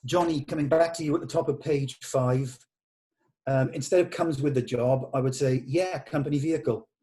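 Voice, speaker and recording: A man's voice: medium pitch, moderate pace, dark tone. A man talking informally through script notes at a table read, thinking aloud as he gives short, practical instructions.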